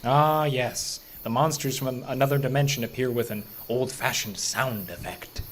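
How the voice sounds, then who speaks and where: A man's voice: medium pitch, normal speed, slight background noise, close to an everyday voice. An actor playing a skeptical, weary lawman in an audio horror drama, with a dry, dismissive way of talking. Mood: wry, dismissive.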